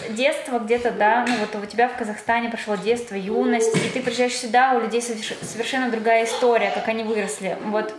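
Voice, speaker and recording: A woman's voice: a little high, steady tone, a little fast, hardly any pauses. A woman in her thirties asking interview questions casually at a home table, often searching for words. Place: home room at a round table. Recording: roomy sound with loud hiss.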